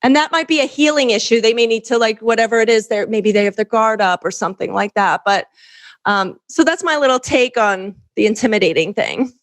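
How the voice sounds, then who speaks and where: A woman with a distinctly high voice speaks as a coach being interviewed. She explains in a conversational, earnest way. Key phrases get repeated and stressed for emphasis. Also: a little fast. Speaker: guest dating coach and matchmaker.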